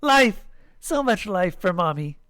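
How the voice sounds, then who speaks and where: A man voicing excitable children who play adoring tentacled alien pets in a story, hooting and crying out gleefully. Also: sits high, measured pace, clear, resonant tone, no echo, studio quality, voice pushed up, heavily acted. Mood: proud, adoring.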